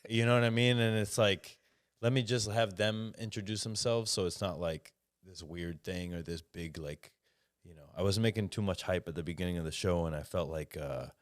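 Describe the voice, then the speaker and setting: A man's voice: deep voice, moderate pace, no room sound, studio quality. A man hosting a podcast interview, rambling casually and swearing freely. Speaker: podcast host.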